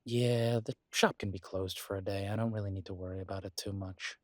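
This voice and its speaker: A man's voice: low voice, steady tone, brisk, dry recording, studio quality, close to an everyday voice. A man playing a caring, easygoing werewolf pack leader in an audio roleplay, talking in a casual, conversational way with trailing phrases. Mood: tender, relaxed.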